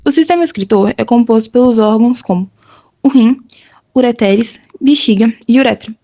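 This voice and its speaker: A woman's voice: fairly high pitch, normal speed, warm tone. A woman with a Brazilian Portuguese accent, a student reading a school presentation and interview questions. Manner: reads from a script, plain and careful.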